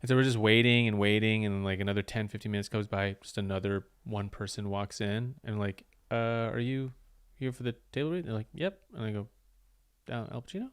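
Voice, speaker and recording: A man's voice: lowish voice, steady tone, fairly quick, clean tone. A man, a comedian, telling a rambling story in casual chat and acting out the quoted lines.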